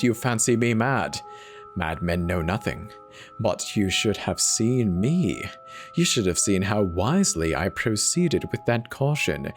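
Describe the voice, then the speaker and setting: A man giving a theatrical, dramatic horror reading, swinging from hushed murmurs to manic highs, with faint music behind him. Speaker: narrator. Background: faint instrumental music.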